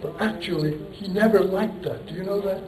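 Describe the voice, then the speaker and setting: A man's voice: sits high, fairly quick, crowd noise. Man lecturing in an echoey hall. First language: Turkish. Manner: rambling, self-deprecating, poses rhetorical questions, chuckles. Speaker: engineering professor giving a lecture. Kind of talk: conference lecture. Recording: echoey hall, voice picked up from a distance.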